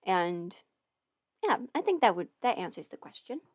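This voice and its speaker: A woman hosts an informal livestream in a medium-pitched voice. She talks hesitantly, often breaking off and restarting her thought as if working it out aloud. The sound is dry and close, with very little room around her. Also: big pitch swings, moderate pace, dry recording. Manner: hesitant, self-interrupting, thinking aloud. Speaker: livestream host, audio roleplay creator. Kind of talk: casual rambling livestream Q&A.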